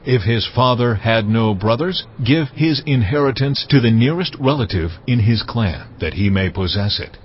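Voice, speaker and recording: An actor playing God as a solemn, measured lawgiver giving commands in a dramatized audio Bible. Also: male voice, mid-range voice, lively intonation, natural voice. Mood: solemn commanding. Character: God, authoritative male Lord giving commands. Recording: dull audio, faint background noise.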